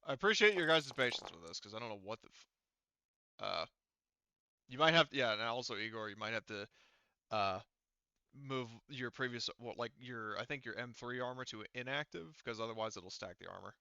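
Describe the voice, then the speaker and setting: Man thinking aloud, crisp but gravelly. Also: mid-range voice, lively intonation, normal speed, sharp tone, no echo, lo-fi sound. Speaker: game master. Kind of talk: casual troubleshooting talk in an online tabletop game session.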